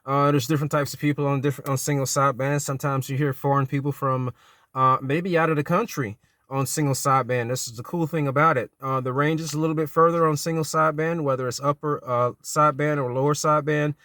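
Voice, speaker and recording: A man's voice: fairly high pitch, fairly even intonation, quick pace, fairly bright tone, no echo, studio quality. A middle-aged American man talking casually as a CB radio hobbyist, often repeating his phrases. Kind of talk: casual vlog talk and radio calling.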